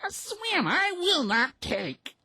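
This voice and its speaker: Bratty little duckling, squeaky falsetto, loud, dry close. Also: male voice, wildly swooping pitch, slow, no echo, exaggerated voice. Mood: sassy. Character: cheeky, rebellious young male duckling.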